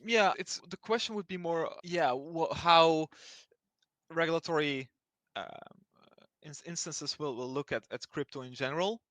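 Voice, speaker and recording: A man from Belgium giving explanatory answers as an interview guest. His voice is bright and a little high, and the sound is close and dry. He feels his way through sentences with halting restarts. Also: no room sound. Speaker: interview guest speaking for a blockchain project.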